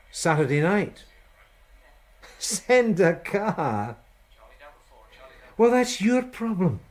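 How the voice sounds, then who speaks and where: An actor playing a weary but genial police detective sergeant in a radio drama, with a wry manner. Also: male voice, a little high. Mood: harassed, weary. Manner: wry, animated, hesitant asides.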